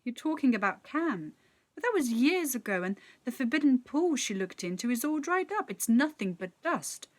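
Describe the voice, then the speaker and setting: A woman as a young girl, high voice, curious, earnest, bold, lively swoops, storybook character. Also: normal speed. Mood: disbelieving. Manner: questioning, earnest, argumentative.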